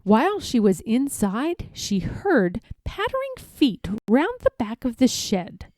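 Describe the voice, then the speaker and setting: A woman narrating a children's story aloud as an expressive storyteller, reading from scripted text. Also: fairly high pitch, takes it slowly, dry recording, clean studio sound.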